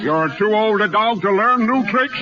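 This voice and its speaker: High-voiced, excitable carnival boss. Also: male voice, moderate pace. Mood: effusive, flattering. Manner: effusive, urgent, repeats himself. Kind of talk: 1930s radio acting. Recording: noisy, muffled 1930s radio recording.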